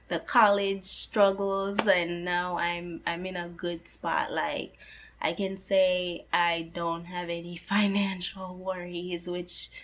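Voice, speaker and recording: A young woman in her twenties speaks straight to camera in a relaxed, chatty vlog, her voice a touch hoarse, as if she is starting to lose it. She sits in a slightly low register and keeps the tone earnest, peppering her sentences with "like" and "you know". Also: slow, a little hiss or hum. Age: 20s. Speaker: personal finance vlogger. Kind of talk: casual talk to camera.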